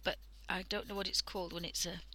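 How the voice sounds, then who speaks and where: A woman with a croaky voice from a cold, chatting casually through a drawing tutorial on a headset mic and often dropping into half-whispers. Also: lowish voice, flat delivery, quick pace, sharp tone, a little hiss or hum, poor audio. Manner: chatty, thinking aloud, drifting into half-whispers. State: hoarse from a cold. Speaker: art livestream host.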